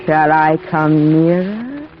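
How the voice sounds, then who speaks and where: A woman's voice: deep voice, expressive, takes it slowly, a little hiss or hum. An actress playing a languid, predatory female vampire, coaxing and sultry, in a 1940s radio drama. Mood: seductive, hungry. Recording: tinny, band-limited 1940s radio broadcast.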